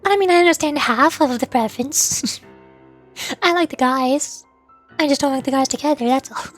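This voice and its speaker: A woman's voice: high-pitched, moderate pace, faint music behind. A young woman streaming live, chatting casually in a rambling, hesitant way full of fillers and restarts.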